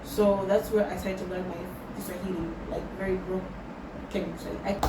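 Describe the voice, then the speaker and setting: A young woman from Tanzania, talking slowly and thoughtfully in a personal vlog and slipping Swahili words into her English. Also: medium pitch, flat delivery. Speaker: vlogger. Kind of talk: reflective personal vlog, answering questions. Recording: roomy sound from a distant mic with loud background noise.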